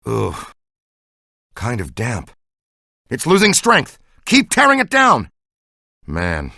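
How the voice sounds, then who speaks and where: An excitable, nerdy ghost hunter, swooping pitch. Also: male voice, no echo, clean studio sound, heavily acted. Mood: thoughtful, then excited, urgent. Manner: earnest, excitable, technical. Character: eager, nerdy, good-natured male ghost hunter and scientist. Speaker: video game voice actor. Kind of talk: video game dialogue, battle shouts and banter.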